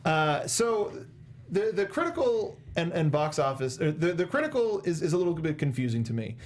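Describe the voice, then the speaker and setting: A man's voice: on the high side, big pitch swings, normal speed. A young American man co-hosting a movie review show in casual, conversational style on a close studio microphone. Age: young adult. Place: small indoor studio. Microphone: close studio microphone with foam windscreen.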